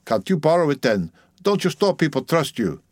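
A man's voice: a little high, very animated, normal speed, no echo, pitched above the performer's own voice. A storybook midwife, huge, brusque and out for money, acted by a male reader in a raised voice. She drives a hard bargain in clumsy English, blunt and unyielding, in a close, dry recording. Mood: persuasive. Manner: blunt, haggling, broken English. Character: hard-bargaining, enormously fat Dutch midwife woman, brusque and mercenary.

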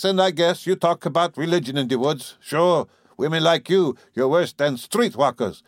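A man reading an audiobook, voicing a gruff, bullying old farmer who whines and mangles his consonants in a rough rural dialect. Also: on the high side, dry recording, clean studio sound, pitched above the performer's own voice.

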